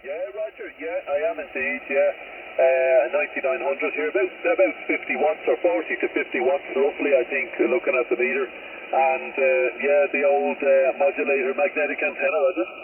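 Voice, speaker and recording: An Irishman chats casually over two-way radio in a heavily creaky, fairly high voice. The signal is thin and full of noise. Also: steady tone, moderate pace, distant mic, narrow, tinny audio. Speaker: amateur radio operator. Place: mobile in a vehicle, over CB radio. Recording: noisy, crackly, thin two-way radio transmission.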